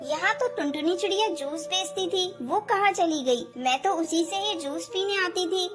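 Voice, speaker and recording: A little female cartoon bird acted by a woman, extremely high and childlike. She speaks earnestly and kindly, as in a bedtime fable, over loud children's music. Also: normal speed. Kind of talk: Hindi cartoon moral story dialogue.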